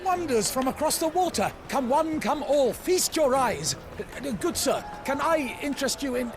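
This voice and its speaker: An actor playing an eager, flustered street hawker in a video game drama, calling out theatrically. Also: male voice, extremely high pitch, big pitch swings, normal speed, music in the background, full character voice. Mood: eager salesmanship.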